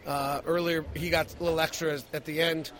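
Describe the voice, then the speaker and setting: A man's voice: on the high side, little pitch movement, brisk, fairly bright tone. A man giving emphatic, opinionated post-game sports analysis as a radio play-by-play host.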